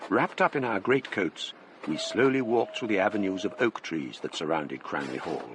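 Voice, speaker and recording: An actor playing a grave gentleman narrator with an English accent, telling a gothic tale in literary, dramatic style for radio. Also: sits low, lively intonation, normal speed, noisy background. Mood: reflective.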